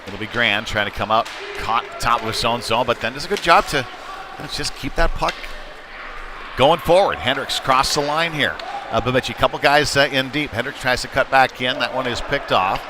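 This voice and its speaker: A man gives live hockey play-by-play over clear rink noise, at an ordinary mid pitch. His delivery is conversational and halting, with uhs scattered through the calls as he follows the puck. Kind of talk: live sports play-by-play commentary. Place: indoor ice rink. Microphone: wired broadcast microphone.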